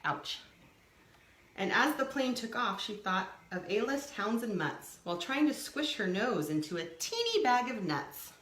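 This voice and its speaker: Animated woman reading a children's story, roomy sound. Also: fairly high pitch, measured pace. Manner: expressive, explaining to young children. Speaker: storytime reader. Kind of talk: animated children's picture book read-aloud with asides.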